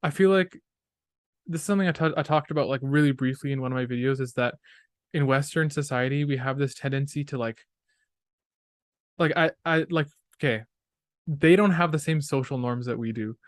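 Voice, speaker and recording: A man's voice: medium pitch, a little fast, dry recording. A young man from Canada, a podcast guest chatting in a laid-back, slangy way. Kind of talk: casual conversation. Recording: dry, close sound.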